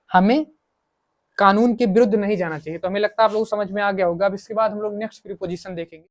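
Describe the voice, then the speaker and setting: A man teaching English grammar in a coaching lesson, repeating himself and asking questions that he answers himself. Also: sits high. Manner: repetitive, asks and answers own questions. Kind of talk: coaching lesson, explaining in Hindi with English examples.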